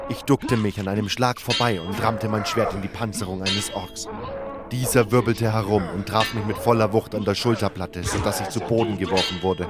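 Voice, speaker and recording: A man narrating a scripted fantasy adventure story in the first person. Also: lowish voice.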